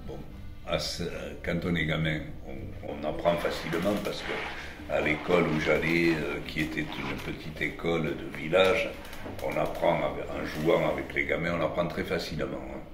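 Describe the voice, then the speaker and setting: Older Italian-born man, about 80; very deep voice, halting recollection; roomy sound with background music. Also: fairly even intonation. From Italy. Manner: slow, halting, with frequent restarts. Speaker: interviewee. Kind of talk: reminiscing interview.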